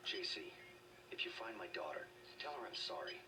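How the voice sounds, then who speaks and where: A man's voice: on the low side, very animated, normal speed. A man playing a worried, protective middle-aged scientist and leader in a video game, grave and earnest. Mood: sorrowful. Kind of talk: dramatic video game voice acting. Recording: noisy, distant-sounding game capture.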